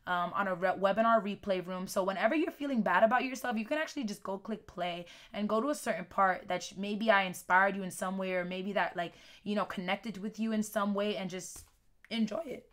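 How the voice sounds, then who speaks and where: A woman's voice: mid-range voice, a bit bright. A young woman fitness coach talking casually on a live webinar, rambling with lots of fillers, her voice a little worn. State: losing her voice.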